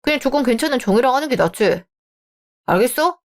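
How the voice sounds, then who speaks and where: A woman's voice: high-pitched, animated, quick pace. A woman voicing a young man caught between his mother and his fiancée in a radio drama, placating and wheedling. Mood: frustrated.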